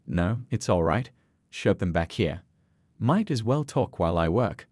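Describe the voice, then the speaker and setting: A man voicing a dignified old grandfather in a narrated story, calm, principled and plain-spoken. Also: low-pitched, measured pace, dry recording, studio quality. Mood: calm, resolute.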